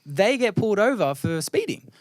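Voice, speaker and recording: A man's voice: high voice, big pitch swings, normal speed, no room sound. A man hosting a casual podcast, blunt and jokey, swearing freely as he talks. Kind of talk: casual chat.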